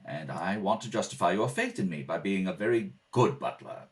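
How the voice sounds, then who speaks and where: A man's voice: sits low, expressive, a little fast, voice pushed down. A man playing a polite, flustered butler in a radio comedy, speaking formally and hesitantly with patient dignity. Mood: gently firm. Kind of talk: 1930s-style radio comedy acting.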